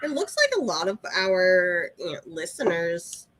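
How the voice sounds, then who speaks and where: A woman's voice: lowish voice, sing-song, a little slow, a bit bright, no room sound, low-quality recording. A woman co-hosting a casual live podcast chat, congested with sniffles, teasing her co-host and reading out comments.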